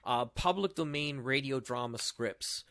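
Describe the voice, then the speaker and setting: A man chatting casually as a podcast host, telling stories in an animated way. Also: mid-range voice, unhurried. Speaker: audio drama show host and podcast panelist.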